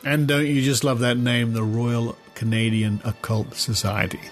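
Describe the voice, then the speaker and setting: An Australian man hosting a podcast, reading aloud in a measured, explanatory way with conversational asides. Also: mid-range voice, little pitch movement, soft background music. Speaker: podcast host.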